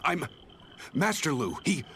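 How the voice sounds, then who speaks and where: A man's voice: on the high side, big pitch swings, measured pace, sharp tone, slight background noise, exaggerated voice. An actor playing a defensive, self-righteous crooked male merchant in a video game, indignant and prone to stammering. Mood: rattled, resentful. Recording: game music behind the voice.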